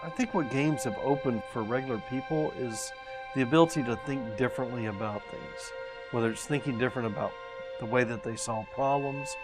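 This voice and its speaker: A man's voice: medium pitch, fairly quick, rough recording. A man speaking reflectively, as in a documentary interview. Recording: loud music under documentary interview clip. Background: music.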